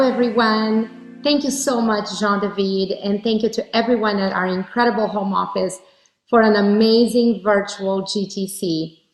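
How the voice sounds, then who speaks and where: A woman from Brazil giving an earnest, heartfelt motivational keynote speech. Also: mid-range voice. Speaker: keynote speaker. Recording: roomy echo.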